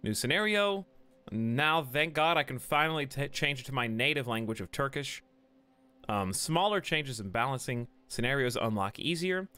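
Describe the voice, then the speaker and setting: A man's voice: mid-range voice, lively intonation, moderate pace, bright, forceful tone, smooth. A man with a cold, chatting casually with viewers while live-streaming a game from home on a desk mic. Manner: chatty, greets viewers, jokes. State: has a cold. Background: faint game music.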